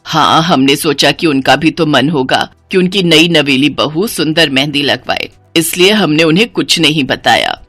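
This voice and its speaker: A woman's voice: low-pitched, moderate pace, hardly any pauses, a bit bright, dry recording, faint music behind, voice pushed down. A woman voicing a concerned, practical middle-aged mother of a bride in a cartoon story.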